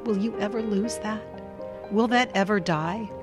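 A woman acting in a radio drama as a composed, insistent researcher who questions in a measured, pressing way. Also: medium pitch, natural voice. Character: probing, composed, insistent female researcher conducting an interrogation. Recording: music behind.

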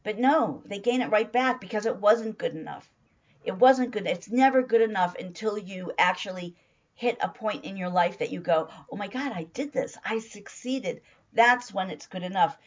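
A middle-aged woman with a hoarse voice, giving an emphatic motivational talk as a weight-loss coach and repeating phrases for stress. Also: mid-range voice, moderate pace, lo-fi sound.

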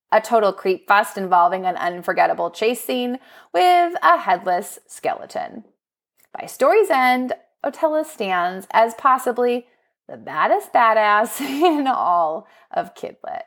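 A woman presenting book recommendations as a podcast host, speaking in an enthusiastic, expressive, prepared narrating style. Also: fairly high pitch. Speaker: school librarian.

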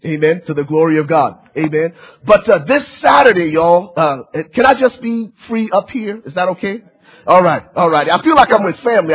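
Guest preacher, man from Liberia, fervent and repetitive, husky slightly high voice, lo-fi church audio. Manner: fervent preaching with repeated phrases and many fillers. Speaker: church-planting pastor, guest speaker. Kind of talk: sermon.

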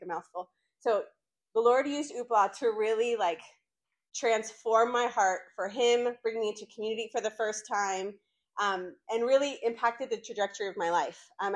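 A woman in her late thirties from North Carolina, chatting informally to college students in a faith talk. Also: medium pitch. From North Carolina, United States. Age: late 30s. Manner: chatty and conversational, frequent "like" asides. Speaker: campus ministry speaker.

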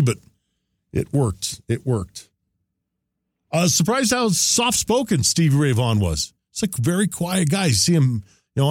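A man's voice: medium pitch, darkish tone, dry recording, clean studio sound. A man hosting a show, giving casual commentary on archive material in an everyday conversational way. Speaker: show host.

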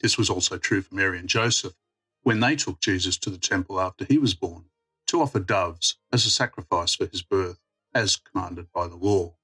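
A man's voice: sits low, normal speed, bright, forceful tone, breathy edge, soft background music, rough recording. A man reading a prepared religious reflection on scripture. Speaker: church mission worker giving a reflection.

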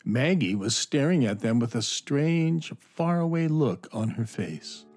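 A man's voice: medium pitch, lively intonation, unhurried, a little mellow, soft background music. A man reading scripted storybook narration for a children's audio drama.

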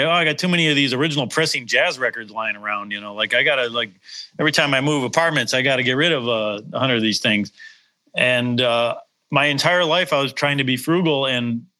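A middle-aged man with a slight Upper Midwest accent chats casually about his life as a guitarist. He rambles and restarts often and pokes fun at himself. His voice is bright and forward, at an ordinary pitch. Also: low-quality recording. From Wisconsin. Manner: rambling, self-deprecating, many restarts. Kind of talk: casual chat.

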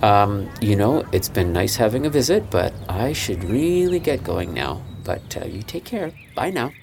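A man playing a polite, awkward older gentleman in an audio drama, speaking hesitantly. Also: on the low side, very animated, unhurried, vocal fry, background noise, heavily acted. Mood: politely uneasy.

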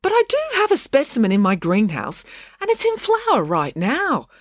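A careful academic dad in a children's story, voiced by a woman narrator. He explains things earnestly and hesitates over decisions. His pitch is raised and moves around, in a dry recording. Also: wildly swooping pitch, moderate pace, no room sound. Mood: explanatory. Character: earnest academic father, plant toxin expert, careful and hesitant.

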